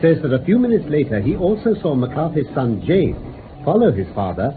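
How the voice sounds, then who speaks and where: Gentleman detective: British, confident, methodical, authoritative, very varied intonation, noisy vintage radio play recording. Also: on the high side, very animated, moderate pace, phone-quality sound. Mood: explaining. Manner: precise, authoritative, expository. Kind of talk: radio drama acting.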